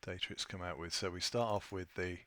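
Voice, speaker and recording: A man presenting an unscripted software tutorial, thinking aloud with a hesitant delivery as he walks through his screen. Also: low voice, fairly even intonation, a little fast, no room sound. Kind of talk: unscripted screen-share walkthrough.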